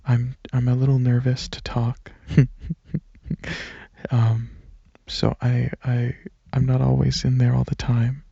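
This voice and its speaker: A man speaking softly and breathily in a relaxed, hesitant ASMR ramble, partly whispering, into a microphone held close. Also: medium pitch, slow pace, creaky, breathy edge, dry recording, highs cut off. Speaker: ASMR video creator. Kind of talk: casual rambling ASMR, softly spoken to listeners. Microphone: microphone held close at chest, leaning back.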